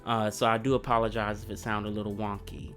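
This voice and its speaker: A man's voice: on the low side, little pitch movement, fast talker, fairly bright tone, soft background music. Earnest man coming down with a cold. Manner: earnest, conversational, with fillers. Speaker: history channel host. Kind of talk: reflective history commentary.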